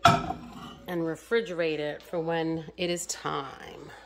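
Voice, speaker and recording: A woman's voice: low-pitched, little pitch movement, slow pace, heavy background noise. A woman from the United States in her home kitchen, chatting through each step of her meal prep as she cooks. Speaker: home cook vlogger. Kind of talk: casual cooking narration.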